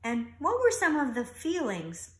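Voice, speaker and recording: Woman doing storytime for kids, slow and expressive, voice goes up and down a lot. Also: high voice. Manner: expressive, instructional, pausing to comment. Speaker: teacher reading a storybook aloud. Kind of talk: read-aloud children's storytime narration.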